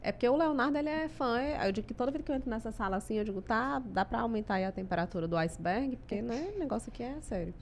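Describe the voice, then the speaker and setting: A woman's voice: on the high side, expressive, racing, hardly any pauses. Upbeat woman hosting a podcast, faint room hum. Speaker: podcast host, interviewer. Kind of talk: casual podcast chat. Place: cold studio room.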